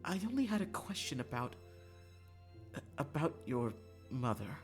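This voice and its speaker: Gentle, probing young man in disguise read by a streamer, soft and careful, music behind. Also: medium pitch, sing-song, a little slow. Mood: hesitant.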